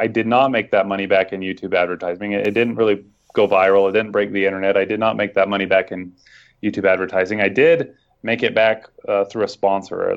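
A man being interviewed, talking earnestly and thoughtfully in a casual conversation. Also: lowish voice. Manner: earnest, reflective storytelling. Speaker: guest, online scam-baiter. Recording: dull lo-fi audio.

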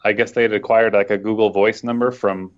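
A man with a fairly low voice speaks earnestly and reflectively as an interview guest. His audio is dull and lo-fi. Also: flat delivery, fairly quick. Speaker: guest, online scam-baiter. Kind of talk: casual interview conversation.

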